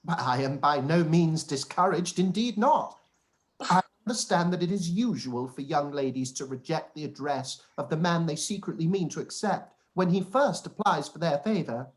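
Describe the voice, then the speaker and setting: A man's voice: a little high, animated, normal speed, close to an everyday voice. An actor playing a pompous, self-satisfied young clergyman, long-winded and formal, in a period radio play. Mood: smug, oblivious. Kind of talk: period drama live-read radio play.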